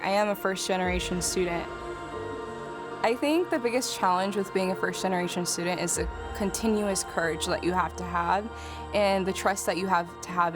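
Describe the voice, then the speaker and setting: A young woman in her twenties, a graduating student, talking earnestly and casually about her studies in an interview. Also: on the low side. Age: 20s. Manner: earnest, casual, with light laughs. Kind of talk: reflective interview talk. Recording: interview with music underneath. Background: background music.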